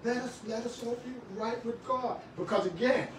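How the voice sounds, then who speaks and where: A middle-aged man preaching a sermon in a small church, swinging from shouts to quiet talk with many restarts. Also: very high-pitched, normal speed, background noise. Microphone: far camera. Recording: echoey room, far from the camera.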